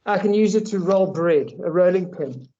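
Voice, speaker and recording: Mellow man answering hesitantly on a call. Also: high voice, normal speed. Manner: hesitant then playful, thinking aloud with "um". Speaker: workshop participant. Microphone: video call. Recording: muffled video call audio.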